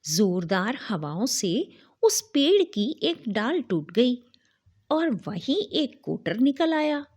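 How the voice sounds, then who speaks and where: A woman's voice: fairly high pitch, a little mellow, husky, full character voice. A woman voicing a small, devout, self-assured female myna bird in a folk tale, speaking earnestly.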